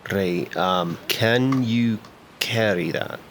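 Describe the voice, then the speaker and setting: A man's voice: lowish voice, extremely slow, a little hiss or hum. Upbeat man rambling through a plan. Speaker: player. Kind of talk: casual tabletop chat.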